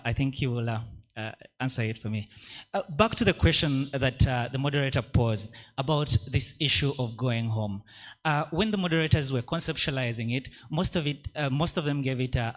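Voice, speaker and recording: Courteous male audience questioner. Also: mid-range voice. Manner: courteous, formal, hesitant. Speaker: audience member asking a question.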